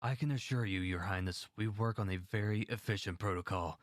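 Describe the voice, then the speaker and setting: A man's voice: fairly low pitch, brisk, no room sound, studio quality, close to an everyday voice. A man playing a dutiful royal guard in an audio drama, speaking formally and deferentially. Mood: dutiful, apologetic.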